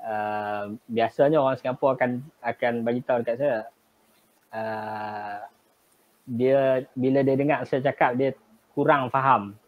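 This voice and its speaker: A middle-aged man with a northern Malaysian Kedah accent talks through his story at a measured pace, pausing often. His voice sits at an ordinary pitch for a man. The sound is dull and lo-fi, like a live stream call. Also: steady tone. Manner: measured, with frequent pauses and fillers. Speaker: guest, travel agency business owner. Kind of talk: remote interview storytelling.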